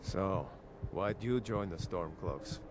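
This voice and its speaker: A man's voice: low voice, expressive, slow. An actor playing a male soldier in a video game, speaking in scene dialogue. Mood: measured. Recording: quiet game audio under clear noise.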